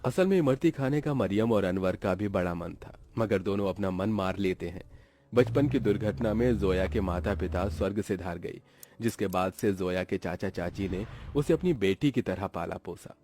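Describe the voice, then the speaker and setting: A man's voice: low-pitched, normal speed, darkish tone. A man narrating a scripted story for a cartoon.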